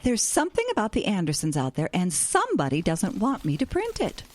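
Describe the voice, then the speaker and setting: A woman's voice: mid-range voice, huge pitch swings, brisk, a little mellow, no room sound. An actress playing an airy, stubborn older hippie grandmother with a wry, theatrical manner in an audio comedy drama. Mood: wry, curious.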